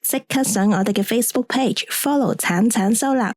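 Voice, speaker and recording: A woman with a Hong Kong Cantonese accent voicing a radio advert announcer who reads a cheerful sales pitch for a tidying brand. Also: moderate pace, a little mellow, dry recording, studio quality, lightly acted.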